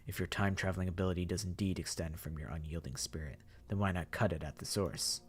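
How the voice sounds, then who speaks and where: A man voicing a polite, formal zombie mastermind who speaks in a slow, measured and softly menacing way. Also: low voice, slightly rough, voice pushed down. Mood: calm, courteous. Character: courtly, eerily polite male zombie mastermind.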